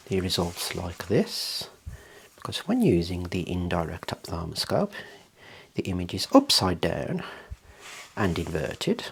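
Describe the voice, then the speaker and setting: A man speaking softly and breathily, often dropping into a whisper, playing an eye clinician in a gentle, reassuring ASMR role play. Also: deep voice, gravelly, slight background noise. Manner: gentle, procedural, reassuring instructions.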